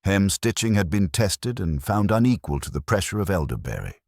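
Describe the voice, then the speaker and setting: A man reading a scripted mystery story in a dry, arch, measured manner. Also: sits low, very animated, a little mellow, dry recording. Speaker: narrator.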